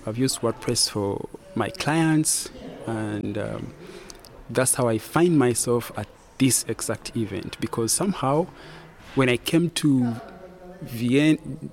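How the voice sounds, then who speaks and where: A man's voice: mid-range voice, gravelly, darkish tone. A young man from Uganda, a web designer and student, eagerly telling his story in an interview. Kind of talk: event interview.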